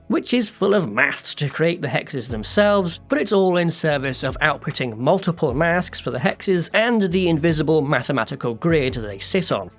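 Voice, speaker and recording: A man with a slightly high voice narrates a making-of tutorial. His intonation swings in a lively way, and the delivery is scripted yet informal and self-deprecating. Music plays softly behind him. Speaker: video creator narrating a making-of. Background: background music.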